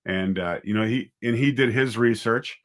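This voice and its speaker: A man's voice: medium pitch, moderate pace. A man speaking casually as an interview guest, a writer and director thinking aloud in a hesitant, conversational way.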